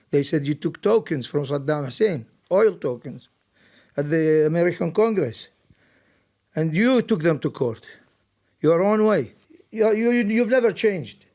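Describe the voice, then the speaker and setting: A man's voice: on the high side, dark tone. A man in his fifties from Iraq, speaking up from a debate audience with emphatic, heartfelt repetition. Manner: emphatic, repeats words, heartfelt. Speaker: audience member.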